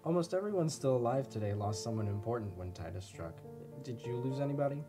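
An actor playing a sober male research interviewer in a radio drama, asking calm, probing questions. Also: medium pitch, fast, music in the background, lightly acted.

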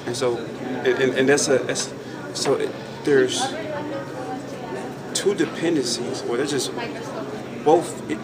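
A man in his twenties talking casually about faith in a halting, thoughtful way, often repeating phrases. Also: mid-range voice. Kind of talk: casual group discussion of faith. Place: large open indoor lounge. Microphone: camera mic a few feet away. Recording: distant mic amid loud chatter.

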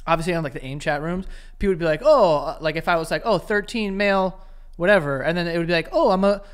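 A man's voice: a little high, quick pace, smooth. A young man co-hosting a podcast, telling stories and teasing his co-host in casual chat, into a desk mic in a small studio. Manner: storytelling, teasing. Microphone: desk mic on stand.

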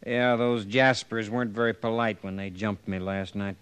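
A man's voice: on the low side, fairly even intonation, normal speed, a bit bright, clear, resonant tone, natural voice. A man playing a weary, plain-spoken drifter cowboy in an old-time radio western, with a folksy, laid-back manner. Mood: wry.

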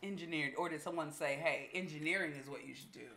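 Bright, quick-talking woman podcast host. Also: sits low, creaky. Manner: encouraging, quick back-channel replies. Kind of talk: casual interview chat. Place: small living room. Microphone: studio mic on a stand.

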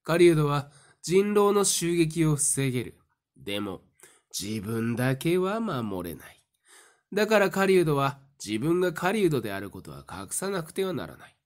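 A man playing a smug, calculating young fortune-teller in an audio drama, explaining things deliberately. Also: a little high, big pitch swings. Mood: coolly explaining.

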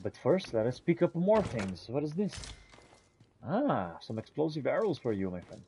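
Mellow man roleplaying through a fantasy game. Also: mid-range voice, very animated, moderate pace, a little hiss or hum. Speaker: gamer roleplaying a character in a video game. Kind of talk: in-character roleplay narration of fantasy gameplay.

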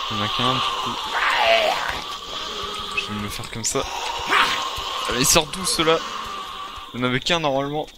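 A man improvising in-character survival gameplay commentary, thinking aloud in a hesitant, repetitive way. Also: medium pitch. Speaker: gaming video creator playing a survival roleplay. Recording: clearly noisy gameplay recording.

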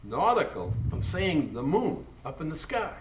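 An actor playing a drunken, brash American steamboat captain who rambles and mocks in a live radio drama. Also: male voice, fairly high pitch, sing-song, a little slow, a little hiss or hum. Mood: rambling, exasperated. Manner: rambling, mocking, incredulous. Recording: echoey live stage, distant mic.

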